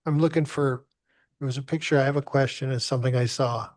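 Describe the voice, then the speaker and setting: A man serving as mayor, chairing a council meeting in a halting, polite way and often searching for words. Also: medium pitch, steady tone, darkish tone. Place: council chamber.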